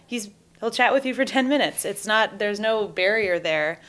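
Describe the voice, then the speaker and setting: A woman's voice: mid-range voice, animated, moderate pace, fairly bright tone. A woman talking casually as a guest in an interview.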